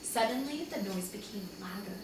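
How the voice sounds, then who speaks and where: A woman's voice: mid-range voice, moderate pace. A woman reading a children's chapter book aloud like a teacher to her class, expressively, with small asides to listeners. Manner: expressive, acts out dialogue with asides. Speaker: second-grade teacher reading aloud to pupils. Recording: echoey, distant mic, noticeable background noise.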